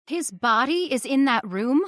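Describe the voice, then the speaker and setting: A woman playing an excitable house guest who gasps at the grim story in a radio drama. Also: high-pitched, unhurried, no echo, studio quality. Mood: curious. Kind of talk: old-time radio drama acting.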